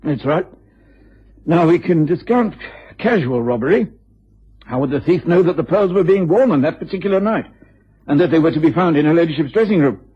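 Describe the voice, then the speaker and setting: An actor playing a dutiful, measured police inspector with an English accent in a radio drama. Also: male voice, on the high side, animated. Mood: methodical. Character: dutiful, methodical male police inspector, politely deferential. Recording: muffled, rough old radio recording.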